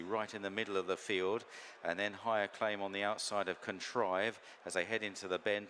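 A man's voice: mid-range voice, sharp tone, a little hiss or hum. A man giving live horse racing commentary, describing the field in a running call that can build to urgency.

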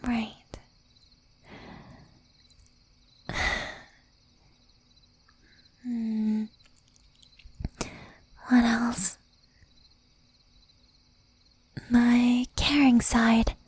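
A woman acting as a jealous, doting young demon girlfriend in a soft, breathy ASMR whisper. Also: a little high, flat delivery, heavily acted. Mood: shy, doting. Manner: slow, intimate, menacing yet doting. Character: jealous, possessive young female demon girlfriend, shy, tender.

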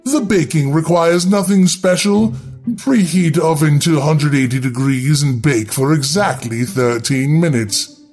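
A man's voice: fairly high pitch, a little slow, a little mellow, soft background music. A man reading scripted, darkly humorous narration in a wry, theatrical instructional manner.

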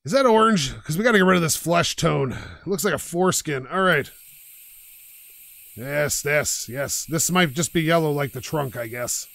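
A man hosting a driving game playthrough, pushing his voice out loud and energetic as he rambles and pokes fun at himself from his couch. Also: on the high side, moderate pace, vocal fry, dry recording. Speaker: gaming channel host. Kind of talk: casual let's-play commentary. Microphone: home microphone while playing on a couch.

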